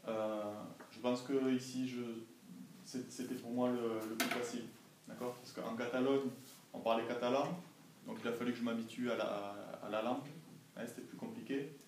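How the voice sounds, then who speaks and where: A man's voice: medium pitch, fairly even intonation, normal speed. A young man from France, answering interview questions as a language assistant, carefully and didactically, often checking that he is understood. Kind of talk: informal classroom interview answers. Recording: echoey classroom, distant mic, faint noise.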